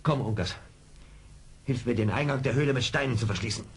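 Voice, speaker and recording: An actor playing a seasoned, brave frontier scout, commanding and deliberate, in a radio play. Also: male voice, medium pitch, noisy background, close to an everyday voice. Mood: commanding, urgent. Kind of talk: 1970s German radio play acting.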